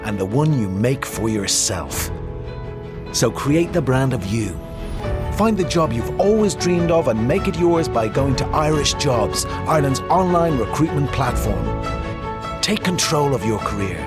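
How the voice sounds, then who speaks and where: A man's voice: medium pitch, expressive, measured pace. A man reading a scripted, motivational advert voice-over. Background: loud music.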